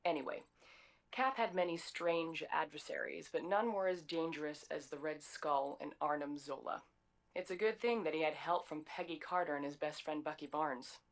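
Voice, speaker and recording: A wistful storyteller for a video game, with a low voice. She gives scripted narration in a musing, reflective way. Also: moderate pace, poor audio. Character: wistful woman narrator recounting a hero's adventures.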